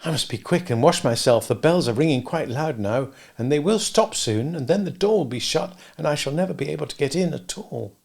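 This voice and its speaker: A man voicing a tired, feverish little chimney-sweep boy who speaks quietly and dazedly. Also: fairly high pitch, expressive, brisk, gravelly, hardly any pauses. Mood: weary.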